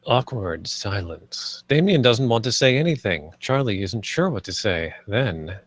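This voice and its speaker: A man's voice: lowish voice, big pitch swings, measured pace, no room sound, studio quality. A man reading stage directions as the narrator of a film script read. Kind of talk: scripted narration, present tense.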